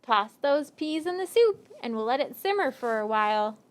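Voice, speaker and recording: A woman voicing a clever, friendly traveling stranger in a children's puppet show, with theatrical, deliberate phrasing. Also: sits high, very animated. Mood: cheerful, directing. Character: friendly, tired, hungry wandering stranger puppet, cleverly coaxing.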